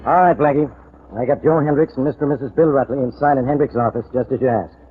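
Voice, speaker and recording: An actor playing a gruff, put-upon police inspector in a 1940s radio drama. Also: male voice, medium pitch, quick pace, loud music behind the voice, close to an everyday voice. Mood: grumbling. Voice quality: gruff, exasperated. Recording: tinny old radio broadcast.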